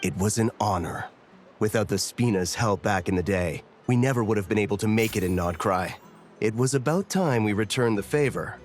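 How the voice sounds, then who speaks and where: A man playing a gruff, loyal gang member in a video game, firm and respectful. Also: on the low side, normal speed, faint music behind, lightly acted. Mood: grateful. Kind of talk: video game character dialogue.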